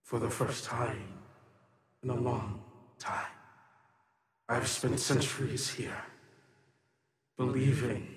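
A man voicing an ancient, bitter, otherworldly sister in a tabletop game, solemn, halting and dramatic. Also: mid-range voice, close to an everyday voice. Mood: solemn, resigned. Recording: long reverb on the voice.

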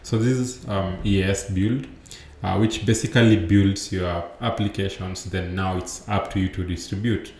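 A man's voice: low-pitched, fairly even intonation, normal speed, darkish tone. A young man giving a remote conference talk over slides, explaining in a loose way with many fillers and restarts, recorded through a laptop mic on a video call. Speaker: conference speaker. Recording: roomy echo with faint background noise.

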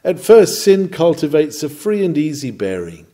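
A man's voice: fairly high pitch, big pitch swings, moderate pace, dark tone. A man reading a scripted sermon in a solemn, emphatic, rhetorical manner. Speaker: reader of a historical sermon. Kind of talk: sermon, read from a script with oratorical delivery.

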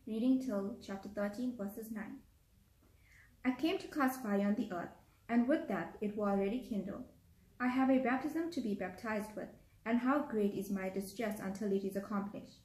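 A woman's voice: mid-range voice, darkish tone. A young woman gives a formal Bible reading aloud in a living room. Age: young adult. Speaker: scripture reader. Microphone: camera across a table. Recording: echoey home room.

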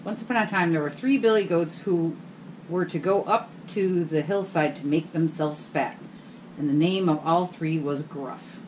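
A middle-aged woman reading a fairy tale aloud in a bedroom, steady and explanatory. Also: low-pitched, moderate pace, a little hiss or hum, poor audio. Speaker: storyteller. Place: hotel bedroom, indoors.

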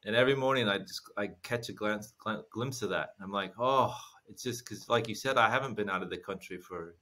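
An American man in his forties from the Midwest co-hosts a podcast over a video call. His voice sits low, and he talks in a jokey, animated way. He speaks through earphones from a small room at home. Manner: jokey, animated, quick to laugh. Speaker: podcast co-host. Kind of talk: casual video-call chat. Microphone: earphone headset on a video call.

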